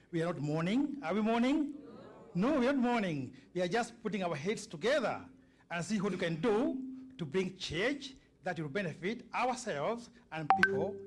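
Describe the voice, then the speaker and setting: A middle-aged or older man from Kenya with a Kenyan accent, giving an informal, halting public address as a community speaker and checking in with his listeners. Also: high-pitched.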